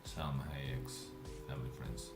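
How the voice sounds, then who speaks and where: A man with a Russian accent, live streaming and chatting casually with viewers, speaking in a deliberately lazy, relaxed way. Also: rumbling low, roomy sound, over music. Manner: intentionally lazy, relaxed way of talking.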